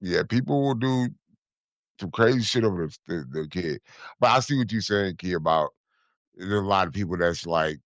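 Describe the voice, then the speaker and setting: A man's voice: low-pitched, lively intonation, moderate pace, no echo. A man in his thirties co-hosting a podcast, chatting casually in a laid-back, agreeable way. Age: 30s. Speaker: podcast co-host. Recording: dull, dry, lo-fi sound.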